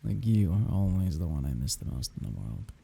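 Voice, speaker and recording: Male actor as bereaved lover, low-pitched, very breathy and husky, tender but wry, intimate ASMR roleplay delivery. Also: very animated, dark tone, heavily acted. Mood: aching, tender. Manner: wry, tender, halting, half-murmured. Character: grieving man bidding farewell to dead lover.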